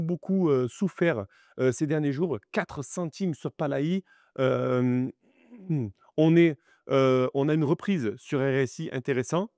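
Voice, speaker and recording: A man's voice: mid-range voice, no room sound. Man, crypto vlog host, chatty and pushy, slightly stuffy, dry close mic. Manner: chatty and animated, many fillers, urges viewers directly. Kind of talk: crypto market analysis vlog.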